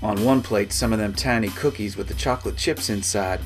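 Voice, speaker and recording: A man's voice: lowish voice, brisk, faint music behind. A man reading a first-person novel as a folksy cowboy storyteller, in rustic dialect. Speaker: author reading his own novel.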